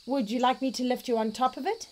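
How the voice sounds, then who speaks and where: A woman's voice: high-pitched, fairly even intonation, fast, slight background noise, lightly acted. A woman voicing a big, polite bear in a children's storybook, plain and earnest. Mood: forlorn. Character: big, polite, forlorn bear missing its hat. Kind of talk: children's storybook read-aloud.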